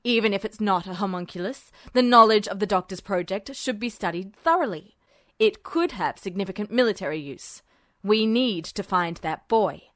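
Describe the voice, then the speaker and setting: A woman's voice: medium pitch, normal speed, no room sound, studio quality, voice pushed down, lightly acted. A woman voicing a stern, domineering male military superior officer who speaks in curt orders. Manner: curt and commanding.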